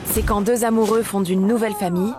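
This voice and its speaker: A woman's voice: mid-range voice, steady tone, quick pace, a crowd in the background, lightly acted. A woman voicing a friendly, patient female dinosaur who explains things cheerily in a children's educational cartoon. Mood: patient, explaining.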